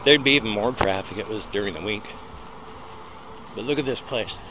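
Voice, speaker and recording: A man's voice: lowish voice, a little fast. A middle-aged American man chatting as he walks through a small town beside a busy road, holding a small recorder close to his mouth, rambling and poking fun at himself. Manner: chatty, rambling, self-deprecating narration while walking. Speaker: vlogger. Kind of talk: casual walking vlog. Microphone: small handheld recorder held close to the mouth. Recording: outdoor traffic noise. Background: loud passing traffic.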